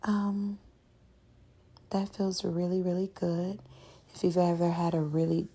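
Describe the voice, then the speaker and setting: Slow, creaky-voiced woman host. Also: lowish voice, little pitch movement, dark tone. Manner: unhurried, chatty, welcoming. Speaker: host and narrator of an ASMR scratch-therapy channel. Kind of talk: casual ASMR voiceover commentary.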